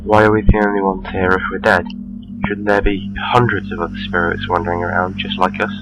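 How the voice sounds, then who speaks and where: A man playing a quiet, weary young hero in an amateur fantasy radio play. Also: sits low, little pitch movement, fairly quick, rough recording. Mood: subdued, puzzled. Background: music.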